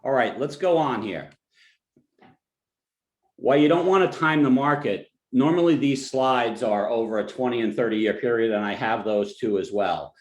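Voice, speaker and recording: A man with a New Jersey accent presents to clients over a webinar, talking in an easygoing way with joking asides and frequent restarts. His voice sits at an ordinary middle pitch. The recording has a roomy echo. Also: normal speed. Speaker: financial advisor.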